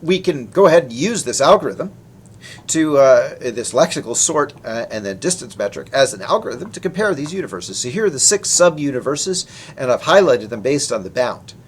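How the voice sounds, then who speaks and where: Animated man giving a technical talk. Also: mid-range voice, normal speed, breathy edge. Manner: informal, conversational asides amid technical explanation. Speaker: researcher presenting his work. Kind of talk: technical lecture with slides.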